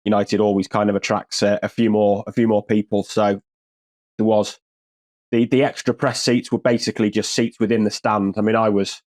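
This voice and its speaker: A man's voice: fairly low pitch, level tone, moderate pace, darkish tone, no room sound. A man with an English accent, a football journalist co-hosting a podcast, talking in a dry, wry, rambling way with lots of restarts. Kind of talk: casual podcast chat.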